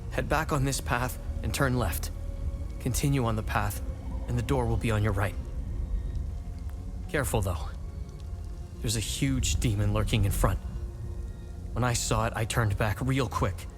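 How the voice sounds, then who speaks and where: A man's voice: medium pitch, measured pace, pauses often, music in the background, natural voice. A man playing a weary, protective prison-camp escapee in a video game, earnest and plain-spoken. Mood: cautioning. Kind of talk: video game cutscene dialogue.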